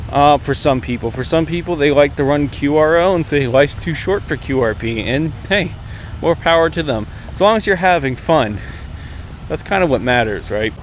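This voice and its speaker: A man in his thirties with an American accent, chatting casually to viewers as he gives instructional tips into a camera held at arm's length. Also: a little high. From United States. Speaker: amateur radio vlogger. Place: outdoors, open park path beside water. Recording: outdoor recording with traffic and machinery noise. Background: jets, vehicles, urban outdoor noise.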